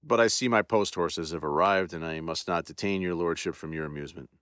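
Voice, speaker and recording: A man's voice: sits low, a little fast, fairly bright tone, clear, resonant tone, dry recording, studio quality. A man voicing a proud old army general, shaken and peevish, speaking in stiff formal phrases. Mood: subdued.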